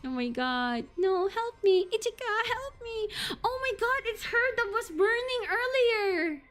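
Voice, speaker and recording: A woman's voice: very high-pitched, expressive, unhurried, a bit bright, faint music behind. A woman streaming a horror visual novel, reading it aloud and reacting with frequent giggles and surprised swearing. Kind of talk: live game stream commentary and reading aloud.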